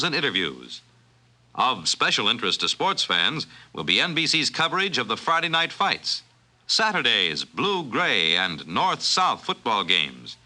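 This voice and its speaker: A man's voice: mid-range voice, sharp tone. A man working as a 1950s radio announcer, reading scripted copy in a dramatic, declamatory way.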